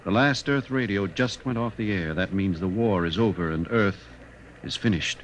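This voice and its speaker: A man playing a grave, patient, gentle father speaking to his son in an old radio drama. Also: lowish voice, normal speed, close to an everyday voice. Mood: solemn. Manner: solemn, patient. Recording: muffled old radio recording, faint noise.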